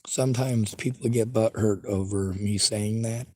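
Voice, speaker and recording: Husky low-voiced man who stutters. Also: steady tone, unhurried, no room sound. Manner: says he stutters and has a speech impediment. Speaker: livestreamer reading viewer chat. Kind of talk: casual opinionated monologue.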